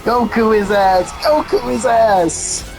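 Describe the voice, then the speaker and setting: A man's voice: high voice, animated, takes it slowly, vocal fry. Guy playing a game and grumbling, blunt and sweary, some music behind him. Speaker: gamer streaming a playthrough. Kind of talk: casual gameplay commentary. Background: video game music.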